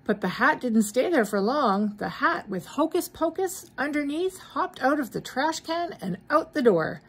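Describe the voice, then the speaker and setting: A woman's voice: high-pitched, big pitch swings, unhurried. A middle-aged or older woman with a mild cold, reading a children's story aloud expressively, with questioning asides to young listeners. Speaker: grandmother reading to grandchildren.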